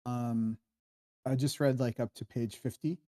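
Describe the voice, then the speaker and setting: Man, dark soft-edged tone, average pitch, casual critique, emphatic, halting. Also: steady tone. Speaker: participant in a script discussion.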